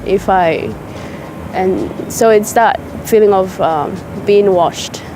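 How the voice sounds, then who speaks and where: A woman's voice: mid-range voice, takes it slowly, background noise. A young woman, speaking thoughtfully in a documentary interview outdoors in a park. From South Sudan. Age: young adult.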